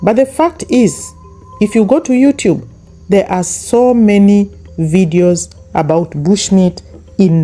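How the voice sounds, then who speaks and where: A woman's voice: medium pitch, mellow. A woman commentating on a YouTube channel, talking straight to her viewers, stressing and repeating her points; English is not her first language. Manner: emphatic, repetitive, speaks straight to viewers. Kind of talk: reaction commentary monologue.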